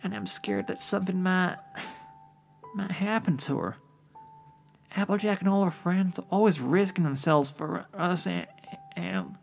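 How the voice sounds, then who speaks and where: Man voicing a soft, high-pitched frightened little filly. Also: expressive, a little slow, vocal fry, pauses often, soft background music, heavily acted. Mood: worried. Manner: hesitant, stammering. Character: frightened little filly, a young sister pony.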